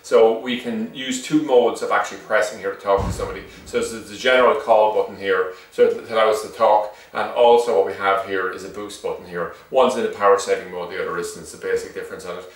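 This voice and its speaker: Irish male shop presenter, low pitch, rapid informal demo delivery, slightly rambling, distant echoey room recording. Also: steady tone. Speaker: shop seller presenting product reviews. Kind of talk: informal unscripted product demonstration.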